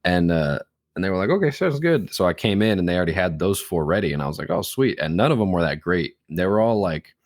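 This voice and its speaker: A man's voice: deep voice, expressive, quick pace, no room sound, clean studio sound. A young man, co-hosting a podcast, telling rambling stories and quoting people in casual chat. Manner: rambling storyteller, quotes people, swears, laughs. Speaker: podcast co-host.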